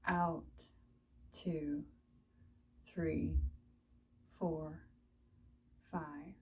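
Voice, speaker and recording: Slow-paced woman guiding a meditation. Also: low voice, level tone, slight background noise, low-quality recording. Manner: soft, soothing, unhurried instruction with long pauses. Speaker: meditation and yoga teacher. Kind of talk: guided body scan meditation.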